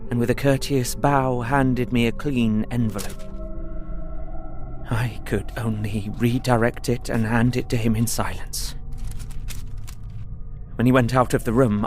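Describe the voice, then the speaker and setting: An actor playing an earnest, anxious young solicitor held captive, narrating his journal in a measured, literary way for an audio drama. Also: mid-range voice, fairly even intonation, a little mellow, faint music behind, lightly acted. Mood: quiet resignation.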